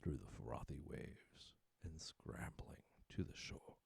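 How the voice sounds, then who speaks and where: Male poetry reader, deep and husky, widely swooping intonation, theatrical, deliberate. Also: animated, dry recording, in a whisper. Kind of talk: dramatic poetry recitation.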